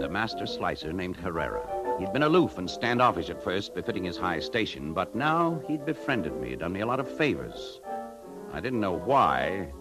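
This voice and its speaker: An actor with an American accent playing a cynical, wry advertising executive who narrates a 1950s radio drama. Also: male voice, low voice, rough recording. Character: self-respecting, cynical advertising executive on the run. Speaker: narrator and lead. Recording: 1950s radio drama, loud music underneath.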